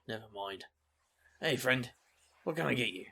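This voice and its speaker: A man's voice: medium pitch, animated, a little slow, vocal fry, background noise. A man playing a roguish, easygoing bartender who banters wryly in a comic audio drama. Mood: casual. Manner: wry, bantering.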